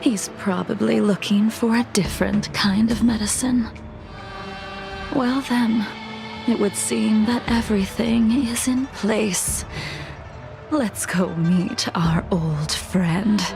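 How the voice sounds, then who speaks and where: A woman voicing a composed, world-weary swordswoman for a video game, grim and formal, with a soft breathy undertone. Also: medium pitch, music in the background. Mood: cool, ominous. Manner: formal, measured, solemn. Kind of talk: dramatic video game voice acting.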